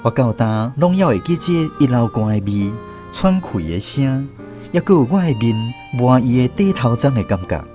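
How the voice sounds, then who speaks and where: A man's voice: medium pitch, moderate pace, soft background music, close to an everyday voice. A man with a Taiwanese accent narrating a radio drama as a grown grandson fondly recalling his grandfather. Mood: tender nostalgic. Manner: expressive, reminiscing storytelling.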